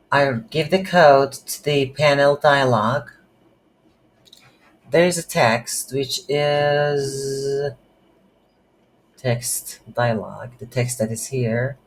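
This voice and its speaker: A coding instructor who is a non-native English speaker, giving an unscripted game development tutorial and thinking aloud haltingly while working. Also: medium pitch. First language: not English. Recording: noisy, with keyboard typing. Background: computer keyboard typing.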